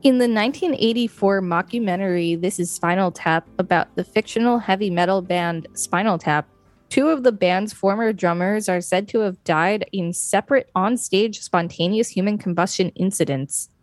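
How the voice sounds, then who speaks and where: A woman reads an encyclopedia article aloud as a co-host, in a medium-pitched voice. Her delivery is informative narration, with faint music underneath. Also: normal speed, dry recording.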